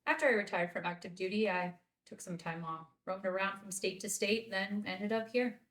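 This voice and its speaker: A woman's voice: medium pitch, moderate pace, fairly bright tone, natural voice. Woman acting a direct military commander. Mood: reflective. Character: commanding female military officer, now retired. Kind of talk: screenplay table read.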